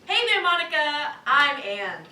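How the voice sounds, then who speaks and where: A woman's voice: high voice, big pitch swings, unhurried, bright, forceful tone, full character voice. A woman playing an eager, awkwardly friendly park employee in a staged reading of a cartoon script. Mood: coaxing. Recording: echoey room, distant mic, faint noise.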